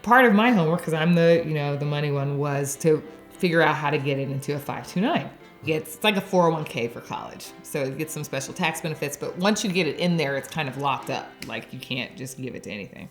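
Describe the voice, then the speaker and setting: A woman's voice: low voice, fairly quick, soft background music. A woman in her forties from Texas, sharing her faith story in a chatty way, laughing often and using lots of fillers. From Dallas area, Texas. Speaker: interviewee. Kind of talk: personal faith testimony.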